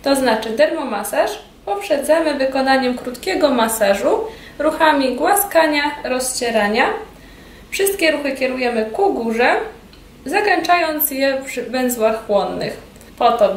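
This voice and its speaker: A woman's voice: high-pitched, unhurried. A woman instructor narrating a hands-on treatment demonstration step by step. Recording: distant, echoey room with loud steady noise.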